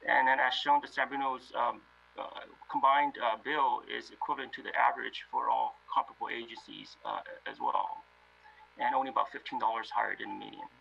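A man, a city finance director, presenting a staff report in a remote video meeting, measured and full of fillers. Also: mid-range voice, expressive, vocal fry, pushed and bright, highs cut off.

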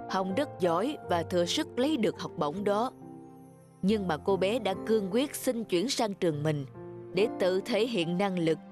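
A woman playing a calm, firm middle-aged father and school principal in a cartoon, measured and admonishing. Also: medium pitch, lightly acted. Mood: explaining, gentle. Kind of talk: animated cartoon voice acting. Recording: clear music behind the voice.

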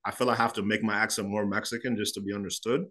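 A man in his thirties from Chicago talks quickly and fluidly on a video call, his voice sitting a little low. He is an interview guest recounting his story in a relaxed, animated way, gesturing as he speaks. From Chicago, United States. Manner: fast, animated, gestures a lot while talking. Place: small room at home.

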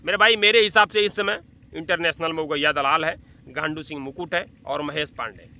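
Man, thirties, high piercing voice, emphatic vlog commentary, outdoor phone recording. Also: steady tone, normal speed, bright, forceful tone. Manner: emphatic, repeats phrases, addresses listeners as brother. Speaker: vlogger. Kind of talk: casual commentary to camera. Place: open-sided thatched hut by farm fields, outdoors. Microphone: phone at arm's length.

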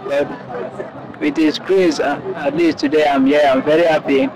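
A man's voice: fairly high pitch, fairly even intonation, unhurried, rough recording. A young man who is a new student, answering earnestly into a handheld mic on an outdoor campus. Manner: earnest, speaks of God. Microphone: handheld microphone. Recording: loud crowd outdoors.

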